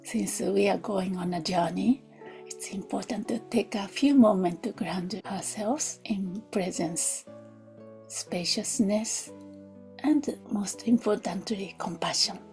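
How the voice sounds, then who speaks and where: A woman leading a guided meditation in a soft, gentle, hushed way, often near a whisper, with long pauses. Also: medium pitch, gravelly. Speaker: meditation teacher. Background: music.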